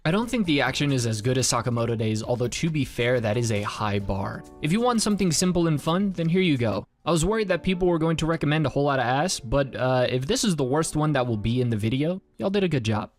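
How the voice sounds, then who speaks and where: A man's voice: medium pitch, lively intonation, fast talker, few pauses. A man reading a scripted review narration in an articulate, wry way, with the occasional swear word. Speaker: manga review video creator. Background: background music.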